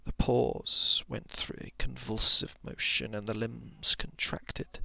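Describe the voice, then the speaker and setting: A man softly reading a horror story in ASMR style, often slipping into a whisper, with deliberate dramatic pauses, close to the microphone. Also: mid-range voice, flat delivery, no room sound. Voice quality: soft, breathy, often dropping into whisper.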